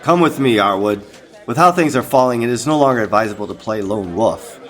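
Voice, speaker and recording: An actor playing a stoic, demanding police captain in a 1920s radio drama, speaking with firm command. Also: male voice, fairly low pitch, lively intonation, a little fast, a bit bright, a little hiss or hum. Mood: barking orders.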